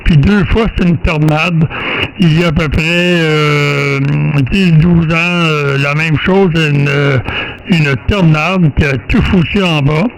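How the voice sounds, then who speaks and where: A man with a Quebec French accent and a fairly high voice talks casually as a ham radio operator. His delivery is a bit hesitant, and faint noise sits behind him. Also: steady tone, slow, gravelly, low-quality recording. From Quebec, Canada. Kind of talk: casual radio contact chat.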